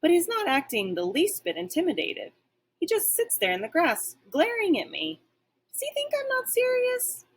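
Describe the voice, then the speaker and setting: A woman reading a children's storybook, voicing an excitable, boastful and playful pet dog with exclamatory, dramatic delivery. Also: extremely high pitch, normal speed, pitched above the performer's own voice, heavily acted. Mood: puzzled, indignant. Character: excitable, cocky, playful pet dog narrating his adventures. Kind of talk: children's storybook read-aloud.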